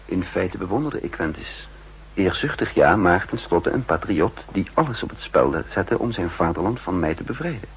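An actor playing a composed double agent who narrates his own story in a measured, introspective way, in a 1960s radio drama. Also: sits low, animated, slightly rough, full character voice. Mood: grave, controlled. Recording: muffled old 1960s radio recording.

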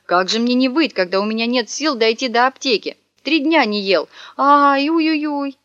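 A woman's voice: mid-range voice, animated, normal speed, a bit bright, dry recording, close to an everyday voice. A woman voicing a sly young male swindler in a storybook reading, whining and melodramatic, heard on a dull, dry recording. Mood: wailing. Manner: wailing, wheedling, melodramatic.

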